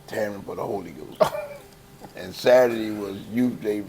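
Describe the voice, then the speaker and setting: An older man from Chicago with a heavy Southern-sounding accent, a gospel choir founder telling stories in a relaxed, laughing, folksy way. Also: lowish voice, moderate pace, gravelly, crowd noise. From Chicago, West Side. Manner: easygoing, laughing, folksy storytelling. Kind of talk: relaxed interview conversation.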